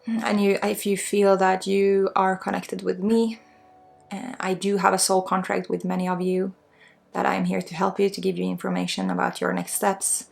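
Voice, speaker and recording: A woman with a Swedish accent guides an ASMR energy healing, soft-spoken and reassuring as a spiritual guide. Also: medium pitch, flat delivery, moderate pace, gravelly. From Sweden. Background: music.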